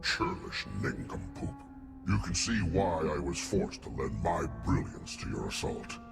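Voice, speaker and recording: Pompous, gravelly, extremely deep alien slug. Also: male voice, very animated, measured pace, exaggerated voice. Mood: haughty. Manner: pompous, wordy, condescending. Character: haughty male Hutt scientist, huge slug-like alien genius. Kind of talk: video game cutscene acting. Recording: music playing behind.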